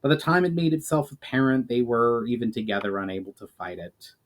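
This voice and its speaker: A man's voice: medium pitch, brisk, lightly acted. A man voicing a grave, knowledgeable elf mage on a quest, speaking formally and earnestly in a storybook reading. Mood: solemn.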